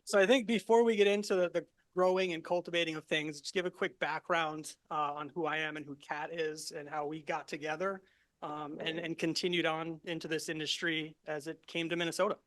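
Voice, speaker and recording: A middle-aged man presenting to a live audience in a relaxed, soft-spoken way, speaking into a handheld microphone. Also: on the high side, fairly quick. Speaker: cannabis grower and presenter. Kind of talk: casual talk to a live audience. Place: event venue with live audience.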